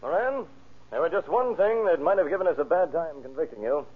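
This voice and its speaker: A man's voice: on the high side, expressive, fairly quick, breathy edge. An American actor playing a confident, needling lawman grilling a suspect in a vintage radio drama. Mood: taunting. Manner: taunting, emphatic. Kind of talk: 1930s radio drama acting. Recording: muffled, band-limited old radio recording.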